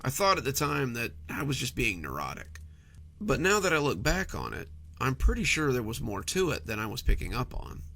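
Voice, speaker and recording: A man narrates a first-person horror tale as if recounting it himself. His mid-pitched voice moves in animated swings, with a bright, forward edge and a noticeable gravelly creak. The delivery is conversational but clearly scripted. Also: a little fast, slight background noise.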